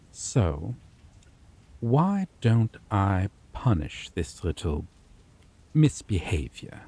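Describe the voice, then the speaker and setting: A man playing a slow, dark-voiced possessive vampire. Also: mid-range voice, slightly rough, heavily acted. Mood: calm menace. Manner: slow, soft-spoken, menacing, drifts into whispers. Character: possessive obsessive male vampire, menacing captor. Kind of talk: ASMR roleplay.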